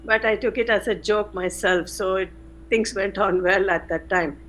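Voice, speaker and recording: An older woman, a retired chemistry professor recalling her career in an interview, unhurried with frequent pauses. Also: medium pitch, fairly even intonation, fairly bright tone, slight background noise. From India. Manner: unhurried, many "uh" pauses, self-corrects. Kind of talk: reflective interview answers.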